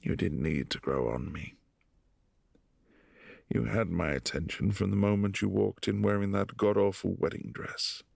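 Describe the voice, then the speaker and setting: Guy doing a brooding old vampire prince, super low and gravelly, soft and close up. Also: steady tone, no room sound, full character voice. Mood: wistful. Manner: soft-spoken, intimate, halting. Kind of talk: ASMR boyfriend roleplay.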